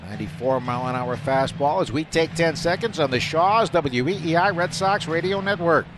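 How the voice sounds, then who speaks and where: A man calling baseball live on radio, describing each play in detail and getting excited on the big ones. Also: fairly high pitch, normal speed. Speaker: play-by-play announcer. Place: stadium broadcast booth. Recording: faint stadium noise behind a broadcast.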